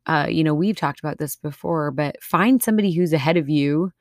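A woman's voice: sits low, a little fast, darkish tone, no room sound, clean studio sound. A woman from Minnesota with a Minnesota accent, co-hosting a faith podcast in an earnest, encouraging way. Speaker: podcast co-host. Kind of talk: casual faith podcast chat.

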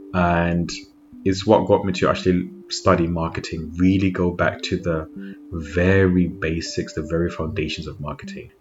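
Deep low voice guy from zimbabwe, relaxed reflective podcast chat, kinda muffled. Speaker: podcast host. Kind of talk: casual talk. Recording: dull lo-fi sound with faint noise.

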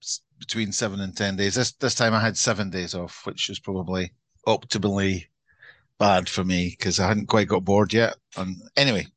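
A middle-aged man from Glasgow with a Scottish accent, co-hosting a podcast and being interviewed, wry and self-deprecating with halting restarts. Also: sits low, fairly even intonation, fairly bright tone, no room sound. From Scotland. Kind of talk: casual podcast chat.

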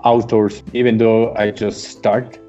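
A man from Mexico whose first language is Spanish, speaking English in a relaxed, reflective conversation with frequent pauses and fillers. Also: on the low side, muffled recording, soft background music. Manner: hesitant, many uh fillers, reflective. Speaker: guest. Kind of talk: casual remote conversation.

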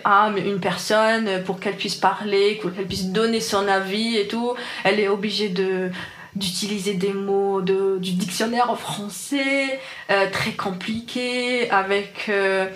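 A woman's voice: mid-range voice, fairly bright tone, echoey room. A woman in her twenties from Algeria, Arabic her first language, speaking French with an Algerian accent, earnestly reflecting on her experience in an interview. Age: 20s. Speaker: interviewee, engineer. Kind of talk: personal testimony in an interview.

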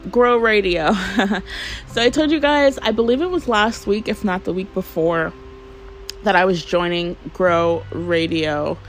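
A casual podcast host chatting solo, rambling from one thought to the next with plenty of fillers and loose, unpolished phrasing. The voice sits at an ordinary middle pitch, and a faint hiss runs under the recording.

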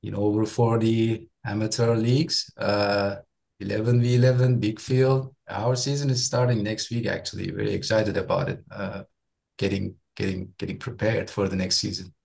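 A man in his forties from Turkey, a guest chatting in a relaxed interview, often repeating words as he goes. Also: lowish voice, creaky. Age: 40s. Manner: friendly, with frequent repeated words and quick laughs. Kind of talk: casual interview chat.

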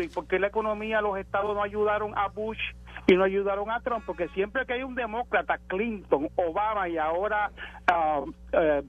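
High-voiced Puerto Rican man in his sixties, phoning. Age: 60s. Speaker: radio show caller. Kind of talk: casual, polite call-in talk. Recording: dull lo-fi phone call.

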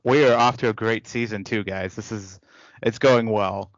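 A man's voice: lowish voice, steady tone, moderate pace, no echo, dull audio. A man in his thirties hosting a casual podcast chat, teasing his co-hosts in an upbeat way. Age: 30s. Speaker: podcast host.

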